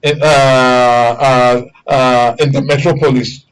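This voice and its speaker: A man with a Nigerian accent hosting a current affairs discussion in an animated, exhorting way. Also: medium pitch, takes it slowly, smooth, rough recording. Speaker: radio and TV current affairs host. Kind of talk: talk show discussion.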